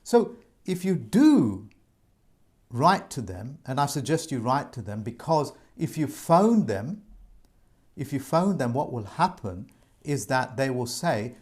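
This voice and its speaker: A man's voice: medium pitch, very animated, slow, a little mellow. A man speaks straight to his viewers, calling them folks. His voice sits mid-height and moves a lot, and he keeps circling back to his points with earnest insistence. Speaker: presenter giving debt advice. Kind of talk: informal advice monologue to viewers.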